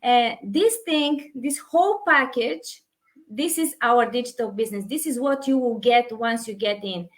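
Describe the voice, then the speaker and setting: High-voiced woman with a Hungarian accent. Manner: halting, many fillers and restarts. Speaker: online business owner. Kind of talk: casual conversation.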